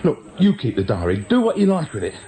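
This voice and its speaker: A man's voice: medium pitch, huge pitch swings, quick pace, dark tone. A man acting a nervous, evasive small-time crook with a British accent in a radio drama, stammering and justifying himself. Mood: pleading. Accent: British English.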